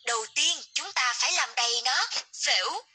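A woman's voice: sits high, expressive, normal speed, noisy background. A woman narrating scripted, encouraging instructions for a children's game.

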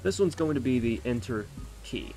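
A man's voice: mid-range voice, expressive, normal speed, warm tone. A man hosting a tutorial, explaining each step as he works through it on screen. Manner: explanatory, thinking aloud while working. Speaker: tutorial host. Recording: clear background noise, keyboard typing and clicks. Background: computer keyboard typing and mouse clicks.

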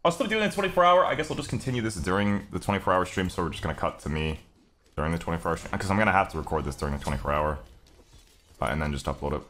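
A man with a deep, very animated voice commentates casually on a video game. He speaks as a streamer does, offhand and profane, with exclaimed complaints. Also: racing.

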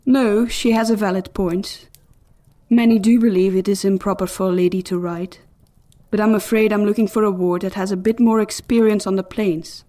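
A woman's voice: medium pitch, steady tone, normal speed, mellow, close to an everyday voice. An actress playing a gracious, self-assured noblewoman in a fantasy audio drama, courteous and frank. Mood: poised, courteous. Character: gracious, self-assured highborn lady seeking a ward.

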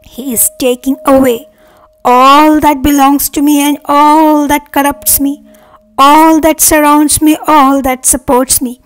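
A woman leading a guided meditation with affirmations, speaking earnestly in measured, separate phrases. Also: sits high, little pitch movement, rough recording. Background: meditation music.

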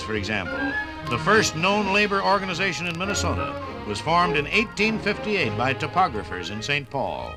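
An American man giving a scripted 1950s radio documentary narration with dramatic, measured phrasing. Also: fairly high pitch, poor audio.

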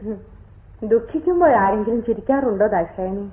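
Actress as a patient nursing sister, tinny radio. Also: female voice, a little high, animated, brisk, close to an everyday voice. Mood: gently questioning. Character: patient, attentive nursing sister tending a troubled woman. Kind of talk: radio drama acting. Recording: tinny band-limited radio broadcast.

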